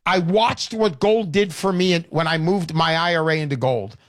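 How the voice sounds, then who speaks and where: Man, 60s, call-in radio host, emphatic pitchman, high and dry. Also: moderate pace, no echo. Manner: energetic, salesy, emphatic. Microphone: studio microphone.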